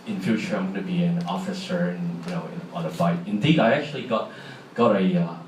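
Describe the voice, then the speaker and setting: A man's voice: low-pitched, fairly quick, a little mellow, slight background noise. Man with a Hong Kong accent, echoey hall. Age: middle-aged. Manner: chatty, asks the audience questions. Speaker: speaker. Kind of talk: public talk to an audience. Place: large hall. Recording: echoey hall from far off, faint ambience.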